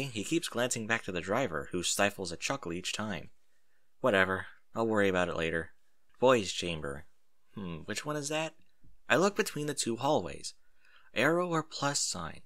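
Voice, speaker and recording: A man narrating a first-person story in an expressive reading style. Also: lowish voice.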